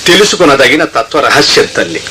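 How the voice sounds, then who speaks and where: A man's voice: mid-range voice, very animated, a little fast, sharp tone, slight background noise. An actor playing a saintly, fatherly guru in a Telugu radio play, with solemn, benevolent, declamatory speech. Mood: solemn teaching.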